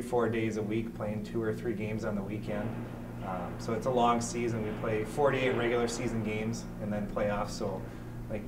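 A middle-aged man, a hockey head coach and general manager, speaking in an on-camera interview in an indoor ice rink, relaxed and matter-of-fact. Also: fairly low pitch, distant mic, very noisy. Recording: echoey rink from off-mic with loud background.

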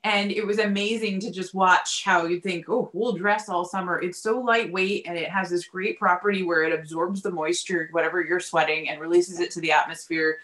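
A woman's voice: lowish voice, fairly even intonation, normal speed, few pauses, fairly bright tone. A woman in her forties telling stories in a casual video chat as a farm-owner guest. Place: indoors in a yarn shop lined with wool shelves. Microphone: computer mic on a video call.

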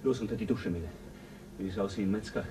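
An actor playing the commanding officer of a spaceship crew, giving urgent orders in a radio drama. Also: male voice, on the low side, quick pace, background noise, close to an everyday voice. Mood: tense. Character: ship commander Jell, tense and in charge. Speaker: crew leader.

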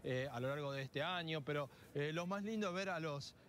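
A middle-aged Argentine man working as a TV field reporter, chatting in a halting, casual way and often repeating himself. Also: a little high, fairly bright tone. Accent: Argentine, Buenos Aires area. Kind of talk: live outdoor broadcast, casual street interviews. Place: outdoor fairground in open air. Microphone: handheld reporter microphone. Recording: noisy outdoor live TV feed.

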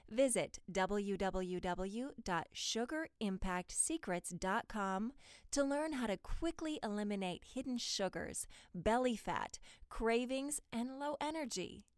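A woman reads promotional copy as a voice-over artist. Her voice is smooth and resonant, and she takes her time with a polished, carefully enunciated delivery. The sound is close and dry, with no room echo. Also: mid-range voice. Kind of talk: scripted promotional voice-over for health and diet ads.

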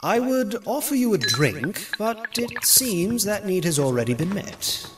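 A man's voice: high-pitched, big pitch swings, measured pace, over music. A man voicing a suave, secretive animal leader in a cartoon fandub, speaking formally and wordily with polite menace. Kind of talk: dramatic comic fandub dialogue.

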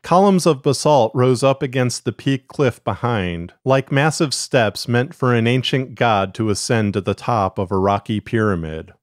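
A man's voice: medium pitch, animated, moderate pace, few pauses, dry recording. A man reading scripted narrative fiction as a podcast storyteller, close to the microphone. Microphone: close, dry studio-style vocal microphone.

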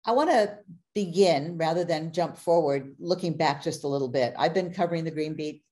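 A middle-aged woman hosts an interview over a video call. Her voice sits low and mellow, and she talks in a chatty way, laughing easily between questions. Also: normal speed. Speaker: host.

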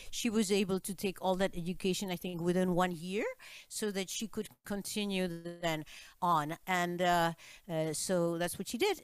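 A woman's voice: on the low side, clear, resonant tone. A woman in her sixties with a Czech accent, telling family stories over a video call, full of fillers and restarts. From Czech Republic. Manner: chatty storyteller, many fillers and restarts. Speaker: guest musician. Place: home room with piano, indoors. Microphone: studio mic on stand, video call.

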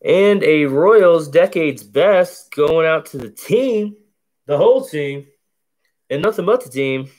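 A man with a low, bass-heavy voice talks at an easy, unhurried pace as he opens packs. He names each card in a laid-back, conversational play-by-play. The sound comes from a camera over a table indoors. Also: expressive, darkish tone. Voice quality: deep, bassy voice. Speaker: card break host. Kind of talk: casual card-opening commentary. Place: indoors at a table covered in cards.

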